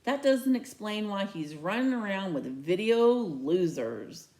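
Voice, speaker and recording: A woman's voice: medium pitch, expressive, a little slow, a little mellow. A woman voicing a suspicious, challenging teenage boy teammate in a storybook reading.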